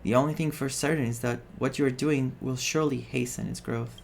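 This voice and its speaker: Creaky-voiced man streaming a story game. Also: mid-range voice, steady tone, brisk, a little hiss or hum. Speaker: streamer playing and reading a story game aloud. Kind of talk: reading game dialogue aloud with reaction commentary. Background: game music.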